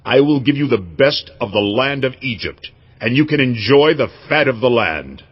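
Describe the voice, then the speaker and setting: Husky, commanding king. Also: mid-range voice, lively intonation, moderate pace. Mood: commanding, magnanimous. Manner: regal, commanding. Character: Pharaoh, commanding generous male king of Egypt. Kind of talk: dramatized audio Bible acting. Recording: slightly dull, muffled audio.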